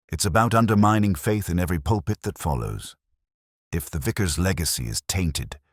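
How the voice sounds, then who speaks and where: A man acts out a calm police inspector in an audio mystery, his voice extremely low. He speaks with measured authority and deliberate, varied emphasis. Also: slightly rough, dry recording, clean studio sound, heavily acted. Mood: calm, firm.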